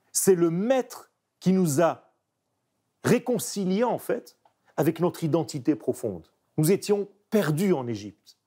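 A man who is not a native French speaker, giving a reflective spiritual talk in an interview. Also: mellow. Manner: slow, emphatic, with wide pitch swings.

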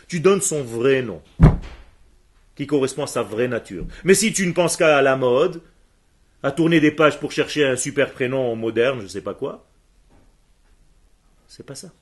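A man who grew up speaking Hebrew, teaching a class emphatically and firing questions at his students. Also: a little high. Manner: emphatic, asks the room questions, answers students quickly. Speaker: religious teacher.

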